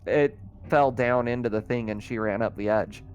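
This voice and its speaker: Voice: medium pitch, normal speed, darkish tone, dull audio. A game master explaining rules and outcomes conversationally while running a tabletop roleplaying game.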